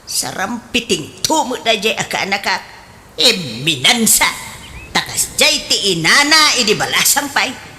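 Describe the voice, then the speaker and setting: Woman doing scolding churchy filipino auntie for radio comedy, sharp voice, very animated, bit of noise. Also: medium pitch, unhurried. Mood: scandalized scolding. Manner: expressive, exclamatory. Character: gossipy, scolding, pious village aunt in a comedy. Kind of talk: comedic radio drama acting.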